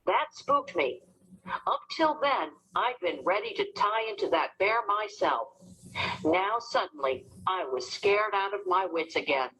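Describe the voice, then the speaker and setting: A woman narrating a storybook as an expressive, dramatic read-aloud for children. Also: mid-range voice, a little slow, a little hiss or hum, low-quality recording.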